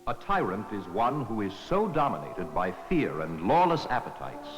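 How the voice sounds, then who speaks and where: A man's voice: on the low side, big pitch swings, normal speed. A man playing a solemn ancient Greek philosopher who lectures his students in grave, declamatory fashion for an educational film. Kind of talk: dramatized quotation in an educational film. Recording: long hall reverb, faint music.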